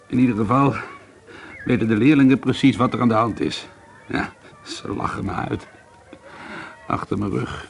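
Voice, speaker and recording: A man's voice: medium pitch, moderate pace, vocal fry, long pauses, close to an everyday voice. An actor playing a troubled, bitter schoolteacher and painter in a radio drama, hesitant and confiding, repeating himself as he broods. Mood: bitter, confessional. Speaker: lead actor and first-person narrator. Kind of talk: radio drama monologue and dialogue. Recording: noisy old radio play recording.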